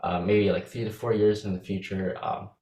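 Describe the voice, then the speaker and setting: Young man, low voice, hesitant. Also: steady tone, moderate pace, darkish tone, echoey room. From Philippines. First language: Visayan. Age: young adult. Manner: hesitant, many fillers and restarts. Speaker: interview guest. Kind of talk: casual interview answers.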